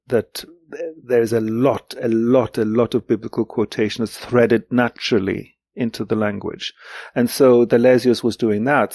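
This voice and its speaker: Man in his sixties, native German speaker, creaky voice, dry close sound, hesitant reflective interview talk, emphatic repetitions. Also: medium pitch, a little mellow, no room sound. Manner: hesitant, halting, repeats words for emphasis. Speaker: guest, literary translator.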